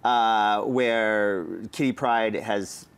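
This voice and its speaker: A man with a fairly low voice takes part in a movie talk show panel. He speaks in a casual, conversational style, as in a group discussion. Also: steady tone, very slow, clean tone.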